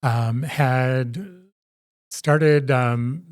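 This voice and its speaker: Halting middle-aged man, dry close sound. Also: medium pitch, fairly even intonation, dry recording. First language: English. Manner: hesitant, frequent ums and restarts, affirming. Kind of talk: conversational interview storytelling.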